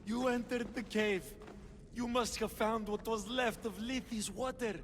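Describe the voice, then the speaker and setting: Slow-spoken, high-voiced haunted widower. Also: male voice, steady tone, a little hiss or hum. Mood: desperate pleading. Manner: pleading, slow, halting. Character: grieving widower pleading for oblivion, haunted and desperate. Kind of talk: video game cutscene acting.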